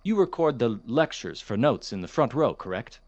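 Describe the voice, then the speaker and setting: An actor playing a courteous, dismissive chemistry professor in an audio drama, speaking in a formal, measured, gently condescending manner. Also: male voice, medium pitch, very animated, dull audio, natural voice. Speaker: substitute lecturer. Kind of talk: audio drama, lecture and office talk.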